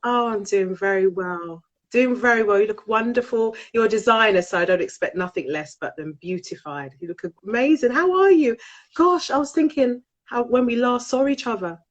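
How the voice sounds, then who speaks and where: A woman's voice: fairly high pitch, normal speed. A British woman with a nasal, congested voice from a cold, hosting a live online chat show in an effusive way, often repeating her phrases. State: has a cold. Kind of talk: casual live chat interview. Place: live video call.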